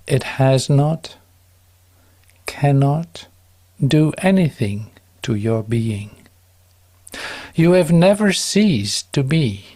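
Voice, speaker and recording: Slow, mellow-voiced man reading devotionally. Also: medium pitch. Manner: calm, measured, solemn, with drawn-out pauses. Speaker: devotional reader.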